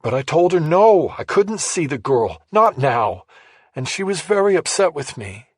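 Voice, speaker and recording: A man voicing a grieving, guilt-ridden middle-aged mother in an audiobook, sorrowful and halting. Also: fairly high pitch, sing-song, slightly rough, dry recording, studio quality, voice pushed up, heavily acted. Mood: pained. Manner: sorrowful, halting, bitterly resigned.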